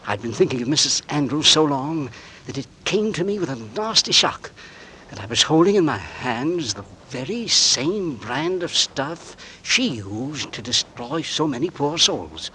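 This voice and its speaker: A man's voice: on the high side, very animated, unhurried, pushed and bright, slight background noise, exaggerated voice, rough recording. An actor playing a precise, self-assured middle-aged gardener who narrates his own story in a 1940s radio drama, in an understated manner. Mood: brooding. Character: fussy, cold-blooded middle-aged male gardener. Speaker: narrator and lead. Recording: old radio recording with music bed.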